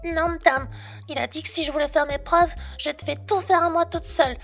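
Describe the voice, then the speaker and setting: Squeaky, eager young country girl. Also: fairly even intonation, fairly quick, a bit bright, faint music behind. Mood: apologetic, earnest. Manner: rustic, bubbly, apologetic, folksy turns of phrase. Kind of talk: French audio roleplay acting.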